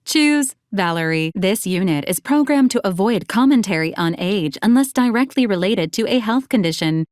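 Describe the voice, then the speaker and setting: A woman's voice: mid-range voice, animated, normal speed, a little mellow, no echo, lightly acted. Woman acting a polite, clinical robot assistant, very dry sound. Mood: formal, detached. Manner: formal, precise, detached, clipped robotic announcements. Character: polite female robot assistant unit, clinical, literal, unflappable. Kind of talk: scripted video game character lines.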